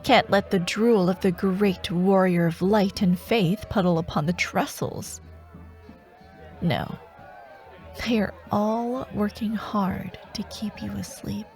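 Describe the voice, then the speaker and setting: Woman playing a slow, taunting female dark warlord. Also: medium pitch, faint music behind. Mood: mocking, sinister. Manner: teasing, taunting, slow and deliberate, slipping toward whispers. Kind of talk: scripted fantasy ASMR audio roleplay.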